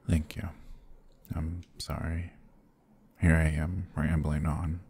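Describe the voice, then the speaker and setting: Man acting a very deep, husky vampire lord. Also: heavily acted. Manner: gentle, formal, halting speech. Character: courteous, protective, brooding male vampire lord. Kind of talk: intimate ASMR roleplay acting.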